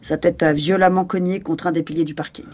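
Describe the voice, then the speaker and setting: A woman's voice: on the low side, fairly even intonation, fairly quick, a little mellow, soft background music, close to an everyday voice. An actress playing a stern, no-nonsense female police chief in an audio drama, curt and commanding, with a dry, cutting manner. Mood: grave, matter-of-fact. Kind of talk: naturalistic radio drama acting.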